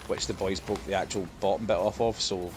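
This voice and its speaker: A man's voice: low voice, fairly quick. A man co-hosting a casual gaming podcast, chatting informally. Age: adult, parent of young children. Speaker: podcast co-host. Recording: steady background noise.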